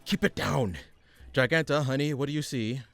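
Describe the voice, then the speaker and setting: A man's voice: mid-range voice, moderate pace, vocal fry, fairly bright tone, faint music behind. A man playing an exasperated, condescending supervillain mastermind in a comic radio play, pompous in his delivery. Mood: angry.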